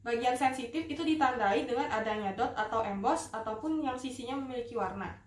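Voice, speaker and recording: A woman's voice: on the high side, a little fast. A young woman giving a rehearsed, step-by-step instructional demonstration in a polite, procedural manner. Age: young adult. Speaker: dental student operator giving a skills demonstration. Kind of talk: rehearsed instructional demonstration with patient role-play. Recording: echoey room, distant mic, faint noise.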